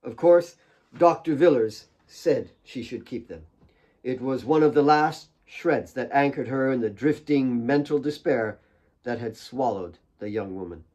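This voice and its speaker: A man's voice: mid-range voice, measured pace, a little mellow, highs cut off. A man reading his own novel aloud as a dramatic audiobook narration.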